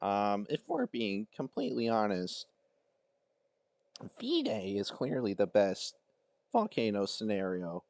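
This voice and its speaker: Man, livestreamer, fairly low voice, casual reaction commentary, dry close recording. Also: wildly swooping pitch, slow, vocal fry, pauses often, darkish tone, dry recording. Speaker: livestreamer reacting to fan-made videos.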